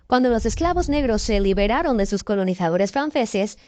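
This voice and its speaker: A woman's voice: medium pitch, lively intonation, fairly quick, warm tone, dry recording. Woman narrating a news documentary.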